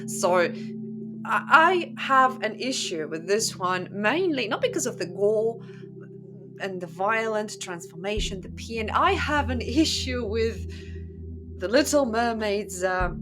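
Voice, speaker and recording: Woman host chatting thru a story, sardonic n opinionated, kinda unhurried, music playing behind her. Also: medium pitch, lively intonation. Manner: sardonic, conversational. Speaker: host of a book channel. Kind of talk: casual opinionated storytelling commentary to viewers. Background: instrumental music.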